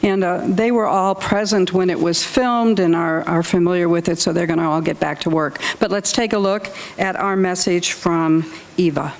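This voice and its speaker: A woman's voice: lowish voice, fairly even intonation, normal speed. A woman informally hosting an event in front of an audience. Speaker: event host. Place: indoor library event with audience. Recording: live event room with audience noise.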